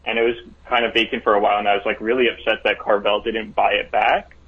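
A man's voice: lowish voice, little pitch movement, fast talker, slightly rough. A man calling into a radio show who tells a rambling story full of fillers. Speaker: caller. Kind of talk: phoned-in story. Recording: thin phone line.

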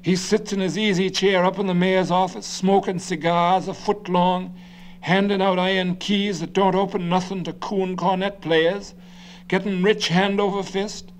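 A man's voice: high-pitched, flat delivery, moderate pace, a little hiss or hum, natural voice. A man doing a hot-tempered old city politician. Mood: ranting. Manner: ranting, indignant, blustering.

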